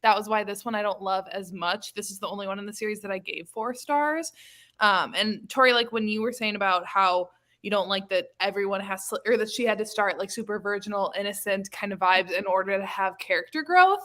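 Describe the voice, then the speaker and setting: A woman's voice: mid-range voice, a little fast, pushed and bright. A woman in a casual book chat as a panelist, talking in a rambling, conversational way.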